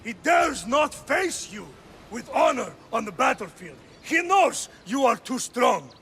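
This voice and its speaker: An actor playing a fiery, scornful Spartan orator, declaiming theatrically in a video game. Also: male voice, extremely high pitch, very animated, a little slow, fairly bright tone, exaggerated voice.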